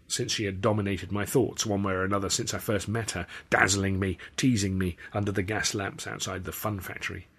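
Low, husky man reading a story. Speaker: author reading his own novel. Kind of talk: scripted audiobook narration with character dialogue.

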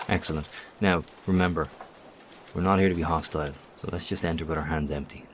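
A man's voice: rumbling low, fairly even intonation, fairly quick, a little hiss or hum. A man playing a composed professor in an audio drama, authoritative and formal in his wording. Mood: calm, cautioning. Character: composed, authoritative male professor leading a group.

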